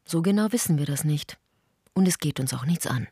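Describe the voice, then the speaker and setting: An actress as a sober, morally pointed narrator in a scripted radio play. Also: female voice, low-pitched, expressive, a little fast, dark tone, husky, no room sound, studio quality, heavily acted. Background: prominent music.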